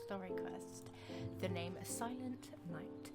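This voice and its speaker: A woman's voice: medium pitch, slow. A woman hosting a let's play, giving casual, chatty game commentary with informal asides. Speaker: streamer, let's play host. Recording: loud music behind the voice.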